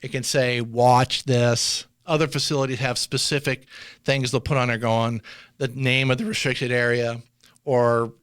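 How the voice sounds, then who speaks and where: A man's voice: medium pitch, level tone, measured pace, fairly bright tone, smooth, dry recording, clean studio sound. A man speaking informally as a former air traffic controller, telling and explaining things in an anecdotal way, often voicing bits of dialogue.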